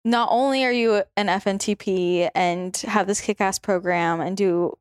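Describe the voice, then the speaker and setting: A bubbly woman hosts a podcast, chatting casually with a friend and laughing often. Her voice sits at a middle pitch. The sound is dry and close, with no room echo. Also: normal speed, clean tone. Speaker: podcast host. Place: indoors at a friend's home.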